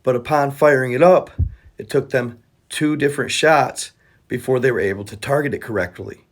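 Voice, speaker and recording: A man's voice: medium pitch, moderate pace. A man presenting an explainer as a content creator, with a conspiratorial storytelling manner and rhetorical questions. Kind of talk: explainer narration to camera. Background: background music.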